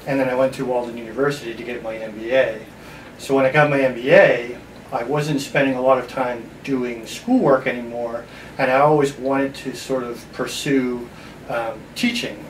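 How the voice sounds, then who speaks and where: A man with a mid-range voice answers as an interview guest, a college department chair. He talks informally, a little away from the mic, in a roomy space with echo. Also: medium pitch. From upstate New York. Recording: roomy echo, distant mic, background noise.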